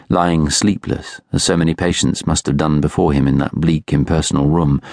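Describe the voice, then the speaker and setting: A British man reading literary fiction as an audiobook narrator, in a measured, reflective way. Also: very deep voice, creaky, dry recording, studio quality. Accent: British English. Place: studio.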